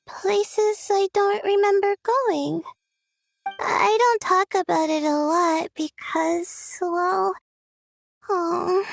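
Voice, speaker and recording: Very high-pitched, timid student girl. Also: gravelly, heavily acted. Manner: hesitant, halting, apologetic. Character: timid, anxious, sleepy young female student. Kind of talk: video game voice acting. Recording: music playing behind the voice.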